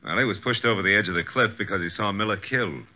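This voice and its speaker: An actor playing a breezy, tough detective's assistant with a wry, slangy, flippant manner in 1950s radio drama style. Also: male voice, on the low side, quick pace, lightly acted. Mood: matter-of-fact. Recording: dull, faintly noisy old radio recording.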